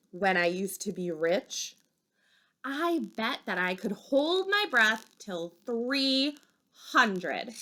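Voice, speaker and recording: A woman reading a children's story aloud expressively, with dramatic pauses and emphasis. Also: fairly high pitch, bright, forceful tone. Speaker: storybook reader.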